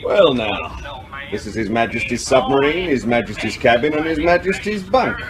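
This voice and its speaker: A man's voice: mid-range voice, big pitch swings, normal speed, a crowd in the background, close to an everyday voice. An actor playing a sardonic, unflappable man aboard a submarine in a radio drama, with a dry, wry delivery.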